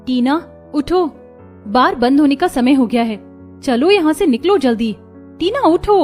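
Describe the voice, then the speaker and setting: A woman's voice: high voice, lively intonation, measured pace, darkish tone, faint music behind. A woman playing a poor, earnest, self-sacrificing young college student in a cartoon story, speaking plainly. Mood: worried. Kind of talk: Hindi cartoon story dialogue.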